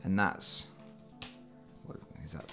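Slow-talking man with a cold. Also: over music. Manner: chatty and self-deprecating, with fillers. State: has a cold. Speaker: board game channel host. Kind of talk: casual unboxing commentary. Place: indoors at a table.

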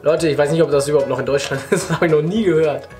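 A man's voice: fairly high pitch, rapid-fire, music in the background. A man in his twenties talking casually to camera as the host of a prank video. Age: 20s. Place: small living room, indoors.

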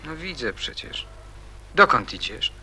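A man's voice: mid-range voice, sing-song, a little slow, pushed and bright, exaggerated voice. An actor playing a proud, troubled teenage boy in a radio drama, terse and defensive or earnestly pleading. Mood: anxious, pleading. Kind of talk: Polish radio drama acting.

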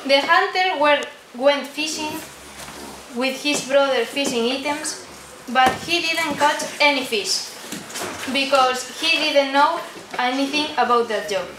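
Slow, high, halting teenage girl. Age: teens. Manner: halting, stumbling reading. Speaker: student narrator of a puppet show. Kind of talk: scripted story read from paper. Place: indoor room at a day care centre. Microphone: distant camera mic. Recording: echoey room, distant noisy camera pickup.

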